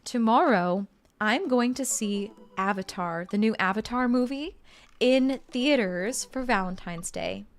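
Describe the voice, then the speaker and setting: Young female streamer, chatty and bubbly, a bit high. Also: a little slow. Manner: bubbly, chatty, reads game text aloud. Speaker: gaming streamer. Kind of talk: casual let's-play commentary.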